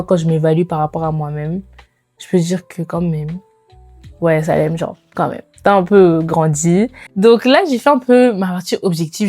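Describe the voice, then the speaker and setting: A woman in her twenties chatting casually to viewers as a lifestyle vlogger, in an animated, informal way with slangy phrasing and bits of English mixed in. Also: on the low side, no echo. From immigrated to Canada. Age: 20s. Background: background music.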